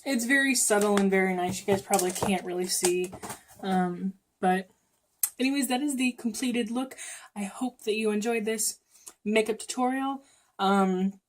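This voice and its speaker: A young woman with a slight cold, chatting casually through a makeup tutorial with lots of ums and self-corrections. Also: medium pitch. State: sniffly, coming down with a cold. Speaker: beauty vlogger.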